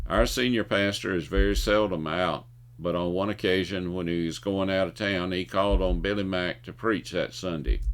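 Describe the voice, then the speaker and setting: Older male commentary host, low voice, folksy and opinionated, reading from a script with occasional stumbles. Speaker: host of a video commentary show. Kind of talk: scripted commentary with jokes and anecdotes.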